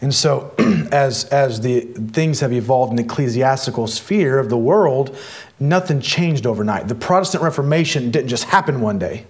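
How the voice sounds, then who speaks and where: Middle-aged preacher guy walking through a point slowly, says it twice, mid pitch. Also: animated. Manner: explaining patiently, repeating key points, answering politely. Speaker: minister presenting the teaching. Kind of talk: explanatory church teaching talk. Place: small indoor studio with a wall screen.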